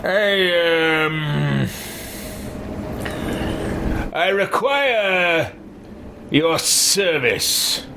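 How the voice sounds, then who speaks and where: Male let's-player, in-character gamer, slightly high pitch, halting theatrical delivery, noticeable background noise. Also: lively intonation, gravelly. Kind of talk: role-play video game let's play.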